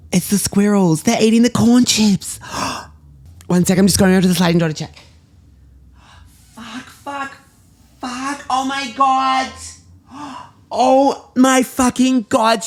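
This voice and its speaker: A woman's voice: lowish voice, level tone, brisk, a little mellow, natural voice. A woman playing a melodramatic young Australian fashion student, gushing and slangy as if confiding in podcast listeners. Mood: shocked, elated. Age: young adult. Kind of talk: confessional solo podcast monologue.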